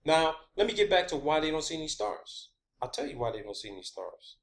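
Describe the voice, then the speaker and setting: A man's voice: on the high side, very animated, a little fast. A man delivering an informal, rambling science-theory monologue, emphatic and sweary, often repeating his phrases. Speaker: online video host.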